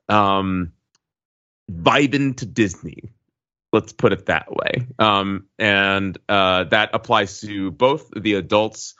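A man chatting casually as a podcast co-host, halting and hedging, often wandering into asides. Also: lowish voice, fairly bright tone. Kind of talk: casual chat. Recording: slightly dull, lo-fi audio.

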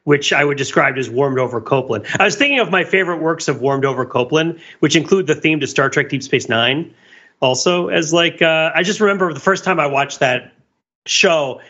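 Man, podcast panelist, sharp bright tone, raised pitch, rambling analysis, animated asides, slightly muffled compressed sound. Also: fairly quick. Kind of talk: discursive film and music discussion.

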